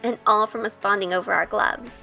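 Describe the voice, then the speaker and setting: A woman's voice: medium pitch, brisk, creaky, pushed and bright, faint music behind. A woman playing a sweet, anxious young witch in an audio drama, earnest and hesitant, also narrating. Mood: tender, shy. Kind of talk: audio drama acting with first-person narration.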